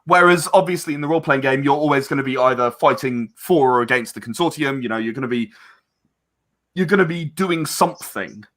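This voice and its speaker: A man's voice: mid-range voice, fairly quick, a bit bright. A man talking casually as an interview guest about his creative work, chatty and rambling as he explains. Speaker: interview guest, game and audio drama creator.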